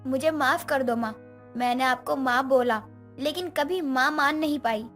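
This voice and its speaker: A woman's voice: sits high, a little slow, fairly bright tone, soft background music. A woman playing a young stepdaughter in a moral story, with a theatrical, emotive delivery. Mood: earnest, confessing. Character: young stepdaughter, vengeful schemer who later repents. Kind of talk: dramatized Hindi moral story acting.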